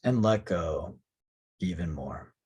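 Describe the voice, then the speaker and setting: Man, deep husky voice, unhurried delivery, soft and soothing, guided meditation, short phrases with long pauses. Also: lively intonation. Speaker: yoga nidra teacher.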